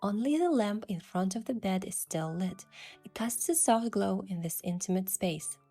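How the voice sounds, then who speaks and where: A woman's voice: mid-range voice, lively intonation, moderate pace, dark tone. Woman narrating a romance, mid-range voice. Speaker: narrator and voice of the heroine. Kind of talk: scripted first-person romance narration. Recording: music behind the voice.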